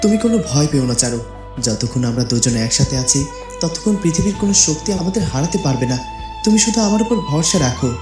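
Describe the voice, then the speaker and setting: A man's voice: a little high, expressive, fairly quick, natural voice. A man voicing a devoted young cartoon prince in a tender, romantic, reassuring manner. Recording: roomy echo with music behind.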